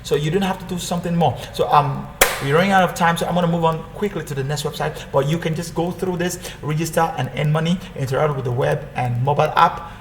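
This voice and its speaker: A man with a fairly high voice walks through a screen recording in a loose, rambling way, often restarting and repeating himself. His voice rings in an echoey room, with a faint hum underneath. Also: steady tone, fast, hardly any pauses. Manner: rambling, self-correcting, repeats phrases. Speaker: online earning tutorial host. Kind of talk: casual screen-share walkthrough.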